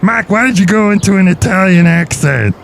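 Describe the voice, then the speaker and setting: A high, gravelly brewmaster voice that swoops up and down, as a man puts it on. He is boastful and scatterbrained and rambles on obliviously. Faint outdoor noise sits behind him. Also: lively intonation, a little fast. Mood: goofy.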